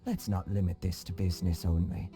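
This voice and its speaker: A man voicing a genial, wily middle-aged nobleman with a courtly, unhurried manner in a video game cutscene. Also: sits low, level tone, over music. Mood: welcoming, genial. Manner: courtly, unhurried, slyly affable.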